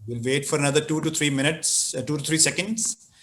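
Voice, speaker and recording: A middle-aged man, chairing a conference Q&A over a video call in a measured way, with fillers. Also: a little high, fairly bright tone, slight background noise. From Pakistan. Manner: measured, with fillers and repeated phrases. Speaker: session chair. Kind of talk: moderating a conference Q&A. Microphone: laptop mic on a video call.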